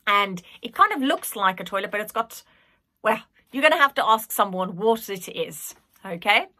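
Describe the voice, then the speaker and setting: A woman reading a children's story aloud in an expressive, dramatic manner. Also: medium pitch, normal speed. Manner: animated, dramatic.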